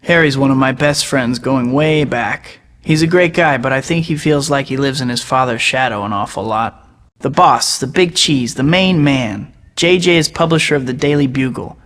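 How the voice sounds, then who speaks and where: A man's voice: mid-range voice, moderate pace, fairly bright tone, in a large hall, close to an everyday voice. An actor voicing a wisecracking young male superhero in a video game, trading sarcastic banter. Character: wisecracking young male web-slinging hero. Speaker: game hero voice clips. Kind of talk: scripted game voice acting.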